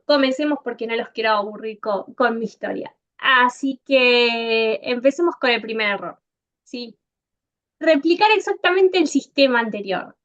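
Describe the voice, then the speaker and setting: A woman's voice: fairly high pitch, a bit bright, dull audio. A woman from Argentina with an Argentine accent, giving a conference talk as a consultant in a conversational way, with frequent "eh" fillers. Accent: Argentine Spanish. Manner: conversational, many "eh" fillers, addresses the audience directly. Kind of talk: online conference presentation.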